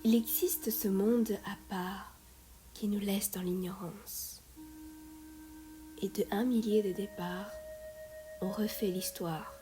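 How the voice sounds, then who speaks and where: A woman reciting a meditative poem in a soft, breathy, often whispery voice, measured, with long pauses between phrases. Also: medium pitch, fairly even intonation, rough recording. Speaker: poet reciting own poem. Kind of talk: slow meditative poetry recitation. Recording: music and singing bowls behind voice. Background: meditative music with singing bowls.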